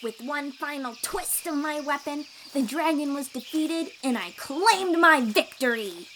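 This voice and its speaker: A woman playing a cheerful, boastful young sea-dwelling warrior in an audio roleplay, eager and expressive as she talks to the listener. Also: high voice, unhurried, slight background noise. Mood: dramatic, proud. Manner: eager, bragging, reassuring, expressive storyteller.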